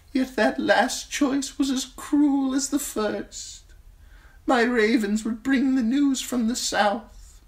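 Woman acting ancient blind old man, weary, gentle, slow grave delivery, high thin voice.

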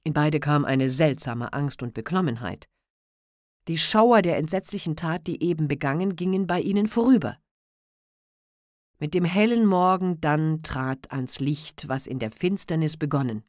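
A woman with a fairly low voice reads classic literature aloud. Her narration is measured and expressive, recorded close and dry with no room sound. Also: no echo. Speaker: audiobook narrator.

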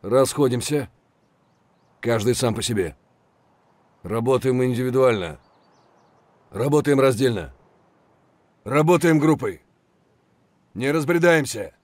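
Terse, measured soldier. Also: male voice, medium pitch, animated, darkish tone, no echo. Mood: calm, watchful. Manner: terse, controlled. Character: steady, businesslike male soldier leading a squad. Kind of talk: military game voice lines.

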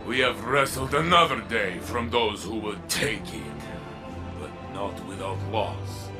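Noble robot knight from a video game, slow solemn speeches, loud music behind. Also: male voice, lowish voice. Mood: solemn, proud. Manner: formal, declamatory, measured. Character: noble armored robot warrior, male, solemn and valiant. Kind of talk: dramatic video game dialogue.

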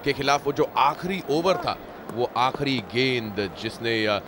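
A man co-commentating a cricket match live, polite and measured, often addressing his partner as sir. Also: medium pitch, expressive. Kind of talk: live cricket commentary mixing Hindi and English. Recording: commentary with loud stadium noise.

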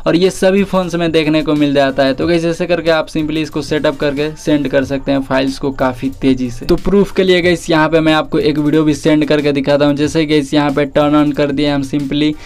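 A man's voice: a little high, flat delivery, brisk, hardly any pauses, darkish tone, smooth. A young man giving a casual tech tutorial voice-over, addressing viewers familiarly and mixing in English words. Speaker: tech tips video presenter. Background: background music.

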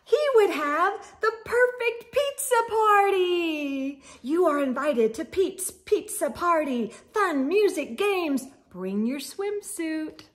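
High, animated woman reading a storybook. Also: slow. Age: middle-aged. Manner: expressive, exaggerated emphasis, sing-song refrains. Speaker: storytime read-aloud host. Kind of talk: animated children's storybook read-aloud. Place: indoor room with bookshelves.

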